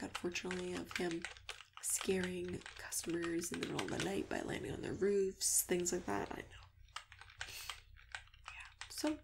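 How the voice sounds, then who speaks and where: Female ASMR performer as a friendly matchmaker: hushed, creaky, reassuring, slipping into whispers, keyboard clicks and noticeable hiss behind. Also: mid-range voice. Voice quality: soft, breathy, often dropping to a whisper. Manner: gentle, chatty, hesitant, reassuring. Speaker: ASMR host playing a matchmaker. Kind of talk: ASMR roleplay, soft-spoken with whispering. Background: computer keyboard typing and clicks.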